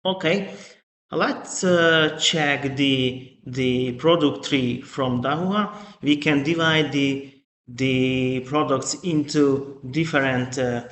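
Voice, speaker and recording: Slow, halting man presenting in an echoey room. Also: mid-range voice, a bit bright. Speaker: webinar presenter, product trainer. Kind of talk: live online product training presentation. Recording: echoey room with faint noise.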